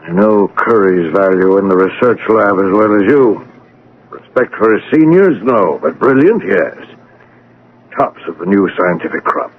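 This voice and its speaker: An actor playing a seasoned, world-weary male doctor in a radio drama, with a brisk, sardonic manner. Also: fairly low pitch, lively intonation. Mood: wry, brusque. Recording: muffled old radio broadcast, faint noise.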